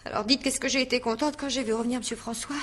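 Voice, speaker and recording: A young housemaid of eighteen in a radio mystery drama. Her voice sits fairly high with a very husky, breathy grain. She is flustered and naive, stumbling over words and rambling on with colloquial emphasis. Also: fairly even intonation, very fast, fairly bright tone. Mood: chatty, earnest. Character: flustered, naive, chatty eighteen-year-old housemaid giving testimony.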